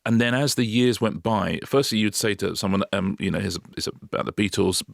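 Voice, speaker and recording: A man's voice: on the low side, brisk, gravelly, no echo, studio quality. A man co-hosting a podcast, chatting casually with wry asides and stammered restarts. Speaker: podcast co-host. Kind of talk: casual chat.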